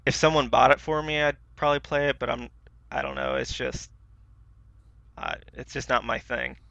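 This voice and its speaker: A man's voice: mid-range voice, steady tone, normal speed, vocal fry, sharp tone, no room sound, muffled recording. A man co-hosting a podcast, chatting casually and colloquially about video games in an easygoing way. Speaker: podcast co-host.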